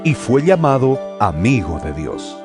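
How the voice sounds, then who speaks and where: An earnest apostle admonishes his brethren in a medium-pitched voice that rises and falls widely. The delivery is oratorical and emphatic, in the manner of a scripture audio drama, with music clearly playing behind it. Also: sing-song, a little slow. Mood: assertive.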